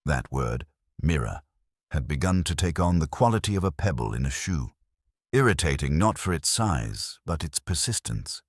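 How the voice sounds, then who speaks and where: A man reading a scripted mystery story in a measured, deadpan and wry manner. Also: very deep voice, breathy edge, no echo, studio quality. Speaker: audiobook narrator.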